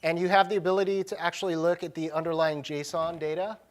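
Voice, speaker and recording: A man's voice: on the high side, flat delivery, quick pace. A man presenting a live tech demo at a conference session, speaking in an informal, slangy, casual way. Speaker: conference presenter, technology director.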